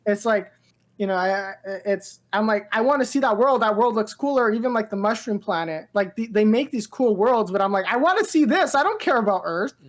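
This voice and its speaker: A woman co-hosting a pop-culture podcast. She talks in a loose, gushing ramble full of filler words, like an excited fan chatting with a friend. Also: mid-range voice, fairly quick. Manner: rambling, heavy use of "like" and "dude"; enthusiastic, gushing. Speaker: podcast co-host. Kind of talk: casual pop-culture chat.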